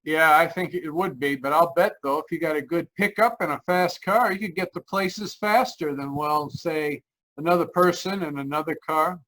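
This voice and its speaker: A man's voice: fairly high pitch, moderate pace, pushed and bright, poor audio. An actor playing a haunted, anxious driver narrating his road trip in old-time radio style, tense and earnest. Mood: uneasy. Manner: tense, earnest, dramatic storytelling. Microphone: video call. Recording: live video-call performance.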